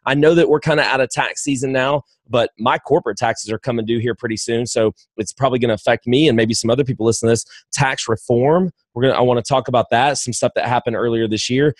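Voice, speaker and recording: A man's voice: medium pitch, fairly even intonation, fast, hardly any pauses, no echo. A man hosting a podcast interview, who asks his questions in an enthusiastic, rambling way with many restarts. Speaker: podcast host.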